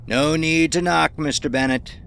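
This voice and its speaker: A man's voice: on the high side, creaky, a bit bright, slight background noise, pitched above the performer's own voice. A man voicing a smug, menacing old villain. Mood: taunting. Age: older. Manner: mocking, drawn-out, condescending. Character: sinister elderly bearded man, face bandaged, smug. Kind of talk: audiobook character voice.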